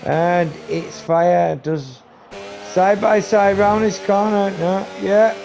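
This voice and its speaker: A man calls a sim race live, talking straight to his viewers with a raspy, fairly high voice that is going hoarse. He jumps into sudden excited bursts when the racing gets close. Engine noise from the race plays loudly under him the whole time. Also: takes it slowly. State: losing his voice. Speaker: race commentator. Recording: noisy stream with engine sound. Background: race car engine noise.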